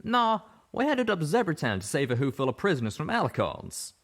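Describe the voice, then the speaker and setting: A man voicing a brash young male pegasus gunslinger who talks loudly and teases his friends. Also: on the high side, wildly swooping pitch, a little fast, voice pushed up, heavily acted. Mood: cocky. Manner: loud, cocky, teasing.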